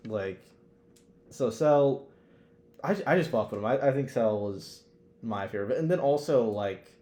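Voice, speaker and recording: Man, podcast co-host, relaxed dark tone, slangy casual talk, halting phrasing, roomy echo. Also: medium pitch. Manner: informal, hesitant phrasing peppered with "like". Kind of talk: casual chat with slang and swearing. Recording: roomy, echoey sound.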